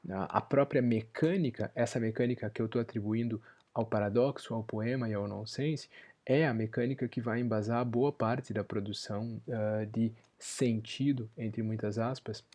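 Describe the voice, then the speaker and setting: Guy lecturing, warm husky voice, keeps rewording stuff as he thinks. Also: fairly low pitch, steady tone, moderate pace. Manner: thoughtful, rephrasing as he goes. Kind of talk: university lecture.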